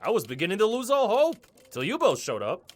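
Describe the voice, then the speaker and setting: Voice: medium pitch, wildly swooping pitch, a little fast. An actor voicing a disheveled, grateful orc mayor in a fantasy audio drama. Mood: relieved, desperate. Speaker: quest-giving mayor.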